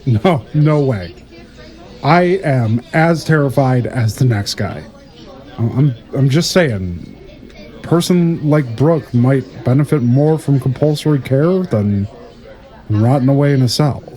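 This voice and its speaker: A man playing a soft-hearted, uneasy colleague in an audio drama, hesitant and earnest. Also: mid-range voice, expressive, slow pace, rough recording.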